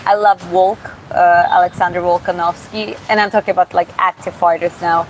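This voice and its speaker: A woman from Georgia who streams and boxes, reading viewer comments aloud in a live Q&A and answering casually, often pausing and restarting to find her words. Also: on the low side, rough recording. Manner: casual, halting, searches for words with many restarts. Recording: steady background hum.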